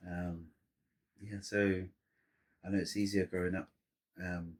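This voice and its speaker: A middle-aged man from Samoa, speaking. His voice sits very low and sounds husky. He talks as a podcast guest, winding through his thoughts with restarts and fillers. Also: little pitch movement, unhurried. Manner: rambling, restarts and fillers, warm laughter-prone storytelling. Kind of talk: casual interview chat.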